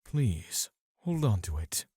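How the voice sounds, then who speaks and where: Soft-spoken somber doctor. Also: male voice, fairly low pitch, very animated, takes it slowly, slight background noise. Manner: soft, apologetic. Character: gentle middle-aged male doctor, somber and kind.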